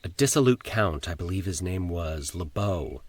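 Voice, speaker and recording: A man's voice: deep voice, animated, moderate pace. A man as an uneasy, fussy horror devotee. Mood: careful recounting. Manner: formal, defensive, precise. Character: uneasy, fussy male horror-fan recounting a tale. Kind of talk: horror audio story dialogue.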